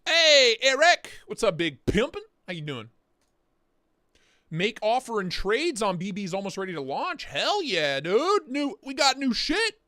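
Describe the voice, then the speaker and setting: This is a young man talking casually on a livestream, his voice hoarse because he is losing it. He sits high and jumps all over in pitch, cursing and breaking into quick mock voices. The sound is dry, close and has no room echo. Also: sing-song, pushed and bright. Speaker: livestream host.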